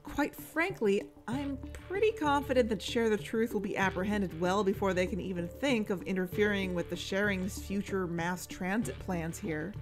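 A woman's voice: mid-range voice, normal speed, hardly any pauses, over music, close to an everyday voice. A woman playing a skeptical, frank podcast investigator in an audio drama, with a matter-of-fact, doubting manner.

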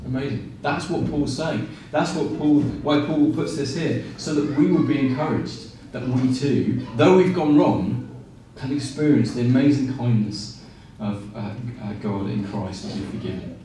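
A man in his forties preaching a sermon with animated gestures and frequent fillers and restarts. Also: mid-range voice, a little slow, hardly any pauses, a little hiss or hum. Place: small room with blinds, indoors. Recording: very echoey room, from a distance.

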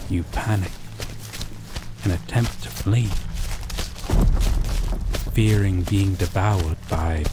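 A man narrating a scripted second-person story in an ASMR roleplay, deliberately, with dramatic pauses. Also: sits low. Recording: loud steady background noise.

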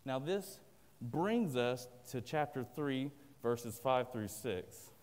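Southern man, earnest plain-spoken preacher, mid-pitched voice, long church reverb. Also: animated, takes it slowly, darkish tone. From American South. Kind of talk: sermon. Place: large church sanctuary indoors. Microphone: at a pulpit, filmed by a far camera.

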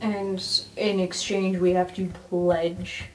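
A woman's voice: fairly low pitch, flat delivery, slow pace, dark tone, low-quality recording. A woman playing an improvised tabletop game in a casual conversational style. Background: background music.

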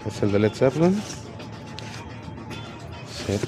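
A man's voice: fairly low pitch, sing-song, slow pace, music in the background. A man in his thirties hosting a casual walk-and-talk vlog at an outdoor swap meet, chatting and rambling as he lists the items he sees, recorded on an action camera. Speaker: vlogger. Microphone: action camera on body or handheld.

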